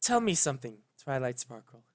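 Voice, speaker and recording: A man voicing an ancient regal female pony princess, tender, proud and sorrowful, speaking solemnly and haltingly. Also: medium pitch, sing-song. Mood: tender, tearful.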